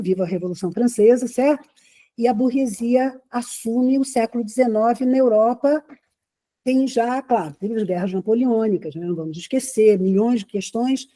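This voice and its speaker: A woman from Rio de gives a conversational lecture to an audience. Her voice is fairly high but very dark and mellow, with a husky edge. She keeps checking in with rhetorical questions as she speaks. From Rio de Janeiro, Brazil.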